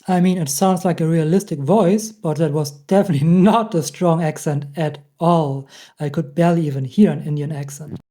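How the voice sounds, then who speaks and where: A young man with a German accent, hosting a casual software tutorial and chuckling at the results. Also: fairly high pitch, fairly even intonation, moderate pace. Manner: chatty, laughs often at results. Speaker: tech tutorial host. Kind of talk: casual screen-recorded tutorial.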